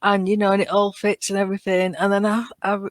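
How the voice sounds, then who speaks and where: A woman's voice: medium pitch, completely flat delivery, quick pace, no echo, studio quality. A woman chatting casually as a guest on a podcast. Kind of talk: casual chat.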